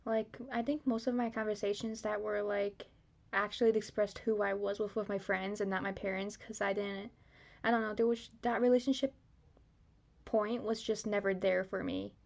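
A woman's voice: medium pitch, level tone, moderate pace, gravelly, darkish tone. A young woman in a casual podcast chat, hesitant and correcting herself as she goes. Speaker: podcast co-host.

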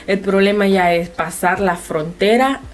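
A woman's voice: medium pitch, unhurried, soft background music. A woman with a Honduran Spanish accent, an interview guest telling a personal story in casual conversation, explaining things directly to the listener.

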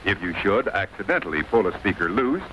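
A man's voice: low-pitched, big pitch swings, fairly quick, a bit bright. A man making a vintage drive-in theater announcement. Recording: dull, lightly noisy vintage archive recording.

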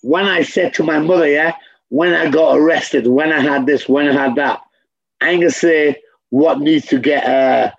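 Brief: man, interview guest, blunt and profane, keeps repeating himself for emphasis; raised gravelly tone on a dull lo-fi recording. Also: fairly high pitch, normal speed. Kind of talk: candid conversation.

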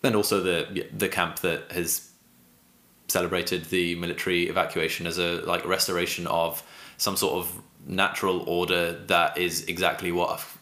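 Low-voiced man with an echoey, bright sound. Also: flat delivery. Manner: hesitant, halting, searching for words. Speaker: podcast co-host. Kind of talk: casual political podcast chat.

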